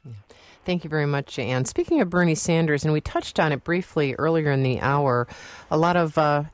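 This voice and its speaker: A man hosting a radio call-in show, with a hesitant, halting way of putting questions, close on a studio microphone. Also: fairly high pitch, animated, dry recording.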